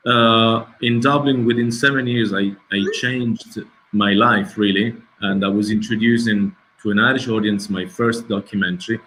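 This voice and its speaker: A middle-aged man, a documentary filmmaker answering festival questions over a video call, pausing often and searching for his English words. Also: lowish voice, fairly even intonation, fairly bright tone, slight background noise, rough recording. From Italy. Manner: hesitant, searching for words, many restarts.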